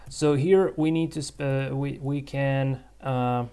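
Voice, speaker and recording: A man whose first language is Russian, teaching English by thinking aloud in a halting way, with many fillers and restarts. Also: medium pitch, fairly even intonation, dark tone, clean tone. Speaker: English tutor. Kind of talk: screen-recorded language lesson.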